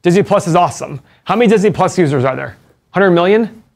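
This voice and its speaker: A man's voice: sits high, expressive, quick pace, creaky. A middle-aged man co-hosting a casual finance chat, explaining numbers step by step and asking rhetorical questions. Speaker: co-host and stock analyst.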